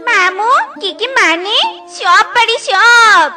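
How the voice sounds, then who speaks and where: A woman voicing a boastful but clueless young animal bride in a cartoon, speaking haltingly. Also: very high-pitched, pushed and bright, soft background music, exaggerated voice. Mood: boastful, hesitant. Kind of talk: cartoon voice acting.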